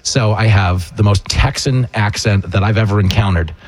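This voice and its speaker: A man hosting a comedic talk radio show, bantering in a jokey, animated way with a raspy voice. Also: on the low side, normal speed. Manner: jokey, animated, slipping into mock dialogue.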